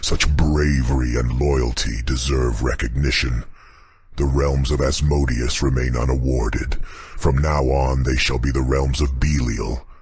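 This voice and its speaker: A man voicing an imperious, vengeful demon king in a very deep, booming, slow and pompous audiobook performance. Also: fairly even intonation, darkish tone, husky, no echo, exaggerated voice. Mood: solemn, grateful. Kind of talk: audiobook character acting.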